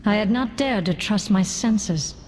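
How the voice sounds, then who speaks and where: Woman as dark-voiced, echoing undead queen. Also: mid-range voice, lively intonation, a little hiss or hum, close to an everyday voice. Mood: bitter relief. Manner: imperious, solemn, measured formal speech. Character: bitter, proud undead queen, grimly brooding. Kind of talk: fantasy game cutscene acting. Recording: long hall reverb over music.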